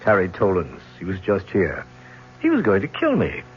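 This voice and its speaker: An imperious middle-aged tycoon in a radio play. His delivery is cool and commanding, with lively swings of pitch. The old broadcast sound is dull, with faint noise. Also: normal speed. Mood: scornful. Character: ruthless, domineering middle-aged male business tycoon. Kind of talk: 1970s radio drama acting.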